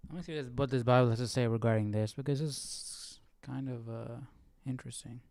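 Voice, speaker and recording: A man's voice: medium pitch, fairly even intonation, a little fast, darkish tone, a little hiss or hum. A man hosting a live Bible study, chatting casually and conversationally as he teaches. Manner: conversational, asks listeners questions.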